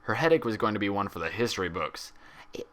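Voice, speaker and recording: A man narrates a story expressively from a script. His voice sits fairly low, with a bright, forceful tone that carries the prose. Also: expressive, quick pace. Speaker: fan fiction reader.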